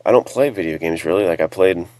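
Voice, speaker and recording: A man with a very deep, creaky voice, chatting casually as an author in a rambling, self-deprecating way. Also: fast talker, a little mellow, dry recording. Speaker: author and podcast panelist. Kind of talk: casual chat.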